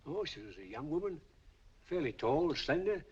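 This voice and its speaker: An actor playing an obliging, ingratiating auction-house clerk in a 1940s film, with a husky voice. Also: male voice, fairly high pitch, big pitch swings, normal speed, exaggerated voice. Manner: ingratiating and polite, calling everyone sir. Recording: dull lo-fi old film soundtrack.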